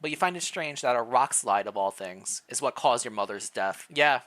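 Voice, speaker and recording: Lively story-reading streamer, mid-pitched, bright voice, playful asides, expressive delivery. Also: normal speed. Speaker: streamer, reader. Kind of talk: reading interactive fan fiction aloud on a live stream.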